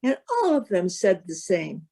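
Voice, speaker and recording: A woman reading scripture as narrator, in a measured, formal way. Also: mid-range voice, huge pitch swings, vocal fry, warm tone.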